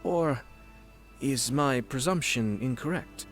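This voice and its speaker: A man acts as a young aristocratic clan leader in a video game, with a medium-pitched voice. He speaks in formal, measured, courteous phrases and stays composed. Faint music sits behind him. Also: lightly acted.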